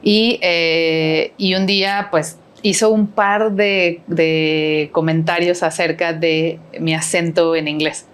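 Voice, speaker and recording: A woman's voice: fairly low pitch, fairly even intonation, takes it slowly, hardly any pauses, clear, resonant tone. A middle-aged woman with a Mexican accent, chatting as a podcast guest and rambling with many fillers and tag questions. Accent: Mexican Spanish. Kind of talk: casual conversational interview.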